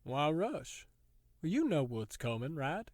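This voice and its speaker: Audio drama villain, male actor: sadistic man in charge, fairly high voice, mock-folksy, taunting, theatrical and smug. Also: very animated, takes it slowly, a little mellow, dry recording, studio quality, exaggerated voice. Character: smug sadistic male authority toying with a captive.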